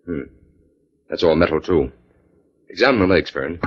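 A skeptical scientist hero of 1940s radio mystery. His voice is deep and a little rough, with measured, dry authority. It comes through a dull vintage recording. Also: lively intonation. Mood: calm, purposeful. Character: calm, skeptical male scientist detective, crisp and authoritative.

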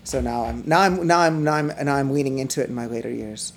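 Middle-aged man, high whiny voice, gravelly, rambling casual talk, faint noise behind. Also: quick pace. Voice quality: self-described high, whiny voice. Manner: rambling, many restarts and fillers, laughs often. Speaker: musician guest. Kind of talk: casual podcast conversation.

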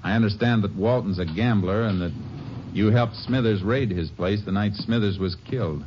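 An actor playing a steady Texas Ranger in a 1950s radio drama, speaking calmly and politely with a Texan accent. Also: male voice, lowish voice, moderate pace, close to an everyday voice. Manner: calm, polite, plainspoken. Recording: muffled old radio recording with faint hiss.

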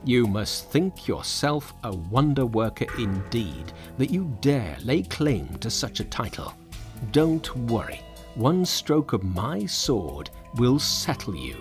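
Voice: medium pitch, very animated, slow, soft background music. A storybook narrator voicing a proud young male warrior god in a haughty, declamatory manner. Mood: haughty threatening. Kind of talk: storybook character voice.

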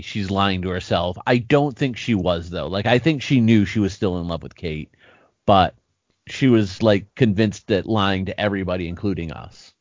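A man co-hosting a podcast in casual chat, joking, animated, often restarting his sentences. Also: lowish voice, no echo. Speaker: podcast co-host. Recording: dry, dull lo-fi sound.

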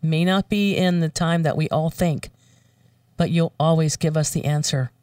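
A woman's voice: deep voice, moderate pace, a little mellow, dry recording, distant mic. A woman guest who does voiceover work, speaking earnestly with fillers and restarts, moving between prayer and casual chat.

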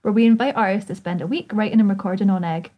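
A woman's voice: mid-range voice, fast talker, warm tone. A woman co-hosting a casual podcast, reading promo copy with a wry, teasing manner. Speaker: podcast co-host.

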